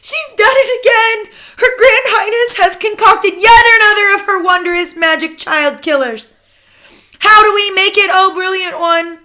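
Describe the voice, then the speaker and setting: A woman's voice: very high voice, lively intonation, measured pace, sharp tone, pitched above the performer's own voice, full character voice. A woman voicing a crowd of fawning female witches who chant their eager agreement. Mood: gloating.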